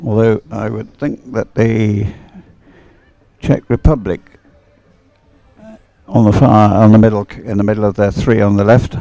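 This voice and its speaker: A man commentates live on a race in an unscripted, conversational style. His voice sits low and is mellow, with a lot of fry in it. He tends to hesitate and ramble as he describes what he sees. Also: steady tone, unhurried, pauses often, no echo. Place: outdoors beside the water at a regatta.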